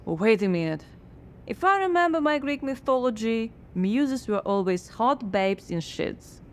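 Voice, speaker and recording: A woman's voice: on the high side, lively intonation, unhurried, a little hiss or hum. A woman playing a skeptical, frazzled scriptwriter in a radio drama, dry and sardonic.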